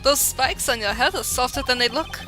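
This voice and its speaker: A woman's voice: sits high, moderate pace, music in the background, lightly acted. A woman voicing a smug, flashy male rock-star prosecutor in a fan-voiced game. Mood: swaggering.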